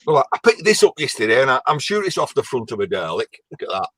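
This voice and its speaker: A man's voice: mid-range voice, big pitch swings, fast talker, fairly bright tone, no echo. A man with an English accent, chatting and joking casually on a live stream. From England. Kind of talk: casual chat.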